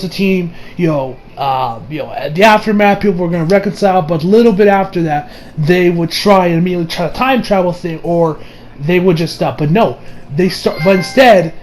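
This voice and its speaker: A young adult man giving a casual, rambling movie review with excitable delivery and frequent swearing. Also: sits high. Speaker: video reviewer. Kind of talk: casual movie review chat over gameplay.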